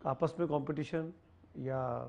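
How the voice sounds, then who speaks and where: A man's voice: on the high side, fairly even intonation, a little slow, vocal fry, darkish tone, lo-fi sound. An Indian man working as a television interviewer, putting short, probing questions to a guest.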